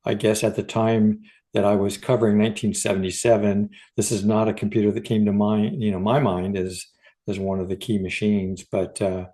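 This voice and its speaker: A man's voice: on the low side, little pitch movement, moderate pace, dark tone. A man co-hosting a podcast in casual, unscripted conversation, tentative and often searching for his words. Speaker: podcast co-host.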